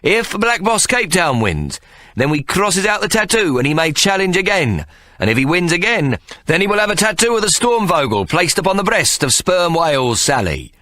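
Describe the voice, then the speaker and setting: A man's voice: sits high, big pitch swings, normal speed, bright, forceful tone, no echo, voice pushed up, full character voice. A man voicing a sly, scheming male showman who announces grandly and wheedles. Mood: grand announcing.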